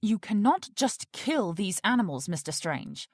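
A woman's voice: mid-range voice, sing-song, measured pace, no room sound. An actress in an audio drama playing an indignant, strong-willed woman who works at a research facility.

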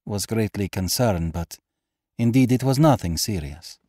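An elegant foreign lady in a gothic storybook acted out by a male reader, pitched well above his natural voice. She has a slight foreign accent and speaks in swooping, tremulous, pleading tones, as if hiding dread. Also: lively intonation, unhurried, warm tone, no room sound, studio quality. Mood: guarded, composed. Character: coldly elegant, frightened foreign noblewoman hiding horror. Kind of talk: audiobook character acting.

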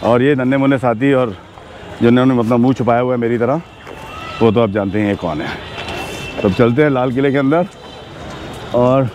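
A man's voice: medium pitch, moderate pace, pauses often. A man in his fifties hosting a food vlog, chatty and enthusiastic, talking into a lapel mic outdoors. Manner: chatty, enthusiastic, mixes English words into Hindi. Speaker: food vlogger host. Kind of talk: casual food vlog narration. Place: outdoors on busy city streets. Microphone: clip-on lapel mic. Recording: street noise behind.

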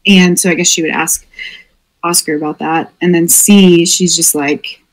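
A woman's voice: on the low side, fairly even intonation, normal speed, poor audio. A woman playing in a tabletop roleplaying game, thinking aloud in a rambling way with many fillers. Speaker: player. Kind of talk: tabletop roleplay over voice chat.

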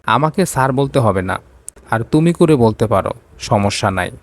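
A man's voice: mid-range voice, animated, moderate pace, close to an everyday voice. A man voicing a curt, commanding young rich businessman in an audio romance story. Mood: businesslike.

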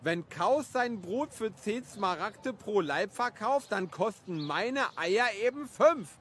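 A man's voice: high-pitched, expressive, slow pace, a bit bright, heavily acted. A man playing a stubborn, cheeky village egg seller in a cartoonish audio drama, with a brazen manner.

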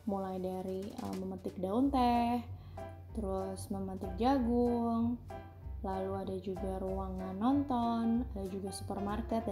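A woman giving a casual vlog voice-over as she narrates a family outing. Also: mid-range voice. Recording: music behind the voice. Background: clear background music.

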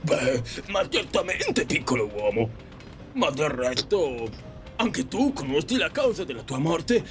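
An actor playing a jolly, sardonic ferryman of the dead who teases passengers in an audio drama. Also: fairly high pitch. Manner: teasing, theatrical, drawn-out.

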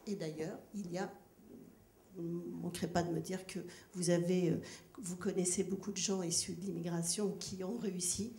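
A woman's voice: lowish voice, steady tone, moderate pace, mellow. A middle-aged woman speaking earnestly and formally into a handheld microphone at a public debate, emphatic and repeating phrases to press her point. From born in Kabylie, Algeria, grew up in France from age nine. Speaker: audience member questioning a speaker at a public debate. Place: large ornate hall with seated audience, indoors. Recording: echoey hall with clear background noise.

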